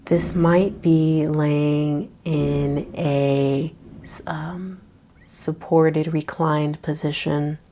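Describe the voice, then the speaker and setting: Slow, soft-spoken woman guiding meditation. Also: low voice, slight background noise. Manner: slow, soft-spoken, with long pauses and breathy trailing words. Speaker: yoga nidra teacher. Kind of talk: guided meditation.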